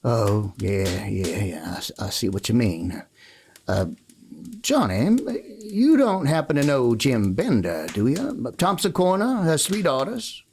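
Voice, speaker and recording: A man playing a kindly, easygoing cowboy in a western radio play, talking in a halting, folksy way. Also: medium pitch, sing-song, vocal fry, slight background noise. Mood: friendly, musing. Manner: folksy, halting, gently coaxing. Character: kindly, easygoing drifting cowboy of the Old West.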